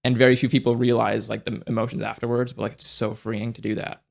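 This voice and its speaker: A young man speaks in a relaxed, conversational way. His voice is gravelly and full of vocal fry at a middling pitch, and he often trips over his words as he thinks aloud. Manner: halting, with many restarts and fillers; reflective, searching for words. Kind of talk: casual conversation.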